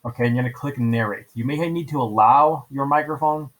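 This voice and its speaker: A man's voice: medium pitch, fairly quick. Man giving a casual step-by-step tutorial. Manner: informal, repeats himself, checks in with "right?". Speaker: teacher giving a software tutorial. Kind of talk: screen-recording tutorial, casual step-by-step instruction.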